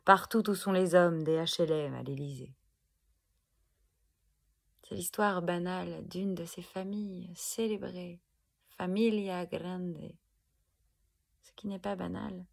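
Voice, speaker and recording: Casting: woman for spoken-word protest poem, slow and emphatic, husky with creaky edges. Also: fairly low pitch. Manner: deliberate, emphatic, dramatic phrasing with pauses. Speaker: poet performing own protest poem. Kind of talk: spoken-word poetry recital.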